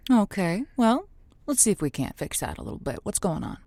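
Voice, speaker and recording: A deliberate, reassuring radio agony aunt. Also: female voice, lowish voice, sing-song, gravelly, mellow, no echo, heavily acted. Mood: welcoming. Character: female radio advice host Josie, reassuring and blunt. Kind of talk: semi-improvised audio drama, call-in show.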